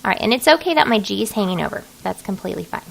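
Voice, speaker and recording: Creaky-voiced woman giving a casual tutorial. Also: mid-range voice, expressive, rapid-fire. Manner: casual, folksy, thinking aloud while working. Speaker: craft design software tutorial host. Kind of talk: informal screen-recorded tutorial narration.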